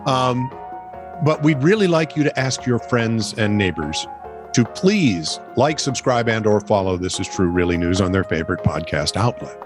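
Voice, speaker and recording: A man's voice: on the low side, lively intonation, normal speed, over music. A man co-hosting a comedy news podcast, playful and mock-dramatic as he reads the news. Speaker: co-host.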